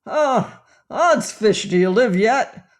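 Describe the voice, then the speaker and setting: A man's voice: high-pitched, steady tone, slow pace. A man doing a roaring drunken pirate captain. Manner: roaring, blustering.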